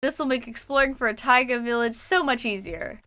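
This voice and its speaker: A young Canadian woman chats her way through a gaming livestream, sometimes laughing and sometimes reading her own journal entries aloud. Her voice is bright and very clean, sitting a little high. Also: brisk. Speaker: streamer. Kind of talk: casual livestream chat while gaming.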